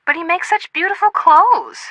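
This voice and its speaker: A woman's voice: extremely high pitch, moderate pace, fairly bright tone, no room sound. An actress playing a bubbly, boy-crazy young American woman in a video game, gushing and playfully dramatic. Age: young adult.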